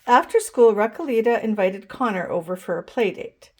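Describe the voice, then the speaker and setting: A woman reading a children's picture book aloud as a storytime host, expressive and pausing to address young listeners. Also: mid-range voice. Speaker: storytime reader.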